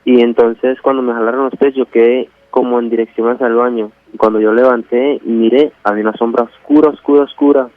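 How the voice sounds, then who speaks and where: A man calling a radio show, telling a personal story in a halting, colloquial way. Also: medium pitch, fairly even intonation, no echo. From Venezuela. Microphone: telephone. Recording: dull, dry phone call to radio.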